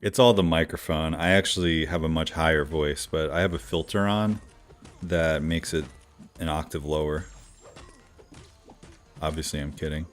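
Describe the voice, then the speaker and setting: A man streaming a game live, chatting casually with viewers, with a smooth radio-like voice and a slightly stuffy nose from allergies. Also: a bass voice, normal speed, pauses often, soft background music. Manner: relaxed, chatty, greets viewers by name. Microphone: decent studio microphone.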